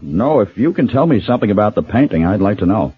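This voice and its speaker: An actor playing a somber, shaken widower in a radio drama, speaking tersely and gravely. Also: male voice, on the low side, big pitch swings, quick pace. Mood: grave, numb. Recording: thin phone-like old radio audio.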